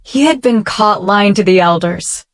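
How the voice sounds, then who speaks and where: Female storyteller for children's bedtime tales, slow and deliberate, medium pitch, very dry close recording. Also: no room sound.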